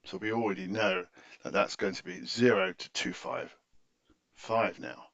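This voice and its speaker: A man giving an unscripted, methodical screen-share software tutorial in an explanatory, instructive way, on a dull recording. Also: deep voice, fairly even intonation, unhurried, gravelly, dry recording.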